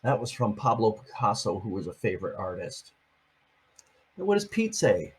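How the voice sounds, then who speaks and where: A man's voice: fairly low pitch, very animated, moderate pace, a little hiss or hum. An older man reading a picture book aloud to his grandchildren, adding chatty, playful asides between pages.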